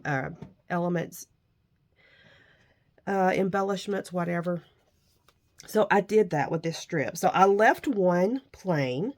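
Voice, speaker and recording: A woman vlogger with a somewhat low voice chats about her crafts. A lingering cold leaves her nasal and slightly gruff. She gushes over the pieces she shows. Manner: warm, gushing about handmade crafts. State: nasal and a little rough from a cold. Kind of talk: casual show-and-tell crafting chat.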